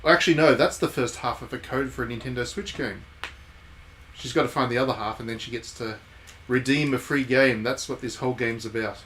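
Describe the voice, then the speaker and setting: Wry man streaming, clear background noise. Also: medium pitch, a little fast, a bit bright. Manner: wry, playful joking asides. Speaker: game streamer and former journalist. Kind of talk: casual live playthrough commentary, reading game text aloud.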